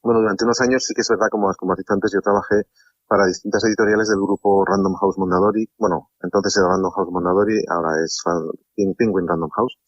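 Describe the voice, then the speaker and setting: A man's voice: sits low, steady tone, quick pace, dry recording, lo-fi sound. A middle-aged man from Spain, a harpsichordist and translator, talking at length as a radio guest with many restarts. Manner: talkative, warm, many restarts and laughs. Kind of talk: relaxed radio interview.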